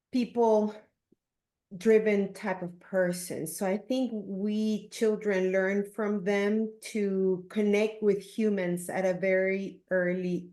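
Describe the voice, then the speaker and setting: The voice is a woman in her fifties with a Peruvian Spanish accent. She speaks slowly, in a measured and earnest interview style, at a medium pitch. The sound is roomy, with noticeable echo. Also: darkish tone. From Peru. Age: 50s. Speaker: podcast guest, leadership coach. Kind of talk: reflective interview talk.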